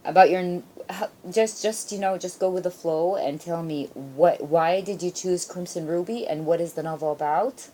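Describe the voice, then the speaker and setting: Woman radio host, low mellow voice, chatty interview style, faint background noise. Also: normal speed, hardly any pauses. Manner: chatty, animated reactions, echoes guest's answers. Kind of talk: casual live radio interview. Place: radio studio.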